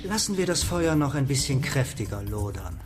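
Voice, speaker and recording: An actor playing a persuasive, self-assured project leader in a radio drama, speaking in an earnest, declarative way. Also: male voice, medium pitch, big pitch swings, normal speed, close to an everyday voice. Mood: calm, decisive. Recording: echoey room, music behind.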